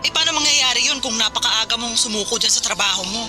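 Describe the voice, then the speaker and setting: A woman's voice: a little high, fast, natural voice. An actress playing a stern, practical Filipino seaman's wife in a radio drama, firm and exasperated with her husband. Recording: loud music under the voice.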